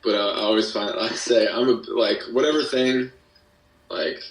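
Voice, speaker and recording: A man's voice: fairly low pitch, fairly even intonation, normal speed. A man chatting casually as a podcast guest, rambling and slangy in a self-effacing way. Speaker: podcast guest, musician and audio drama creator. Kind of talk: casual chat interview. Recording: roomy echo, distant from mic.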